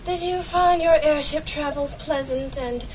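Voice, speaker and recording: A woman's voice: high-pitched, measured pace, darkish tone. A woman playing a perky, keen airship reporter in a comic radio drama, chatty and chirpy. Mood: inquisitive. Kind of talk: comic old-time radio acting. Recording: muffled, distant recording with hiss.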